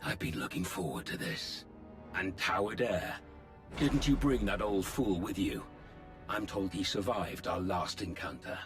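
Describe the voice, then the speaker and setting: A very low-voiced, menacing warlord in a video game, proud and unyielding. He speaks slowly and deliberately, with loud music underneath. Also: very animated. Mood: taunting menace. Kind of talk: dramatic video game acting.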